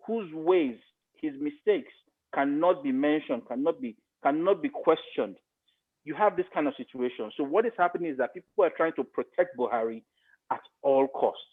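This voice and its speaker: A man's voice: a little high, narrow, tinny audio. A man with a Nigerian accent giving his political opinions emphatically, repeating phrases for stress.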